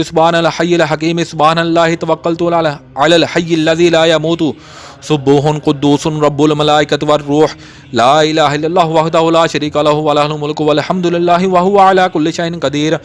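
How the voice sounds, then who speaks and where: A man reciting a long Arabic supplication in a steady, repetitive chanting rhythm. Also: fairly high pitch, clear, resonant tone. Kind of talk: rapid recited prayer.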